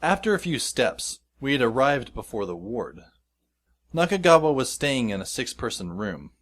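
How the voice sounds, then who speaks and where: A man playing a wry, weary teenage schoolboy who narrates an audio drama in a dry, deadpan way. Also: mid-range voice, moderate pace. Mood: wry, pensive. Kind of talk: scripted first-person audio drama narration.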